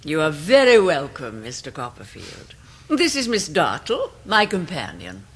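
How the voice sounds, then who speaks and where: A woman's voice: low voice, huge pitch swings, unhurried, slight background noise, heavily acted. An actress playing a proud, stately elderly upper-class English lady and doting mother in a radio drama, formal and imperious. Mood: stately, welcoming. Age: older. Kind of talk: 1990s radio drama acting.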